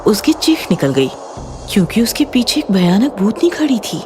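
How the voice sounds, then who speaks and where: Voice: mid-range voice, sing-song, normal speed, noisy background. A narrator reading a scripted horror story.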